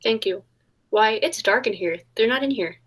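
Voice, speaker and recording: An actress playing a trusting, polite heroine in a 1940s-style radio detective play, speaking earnestly. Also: female voice, fairly high pitch, animated, moderate pace, slightly rough. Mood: curious.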